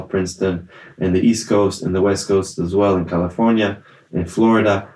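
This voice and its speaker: A man's voice: low-pitched, darkish tone. A young man from Israel, with Italian as a first language, giving an earnest personal testimony as an interview guest, often restarting his sentences. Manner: earnest, many fillers and restarts. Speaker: guest, festival survivor. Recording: echoey room.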